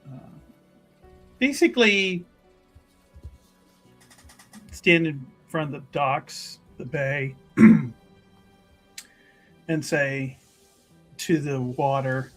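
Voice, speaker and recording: A man playing a tabletop game, narrating his character in a halting, deliberate, improvised way. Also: a little high, fairly bright tone, soft background music.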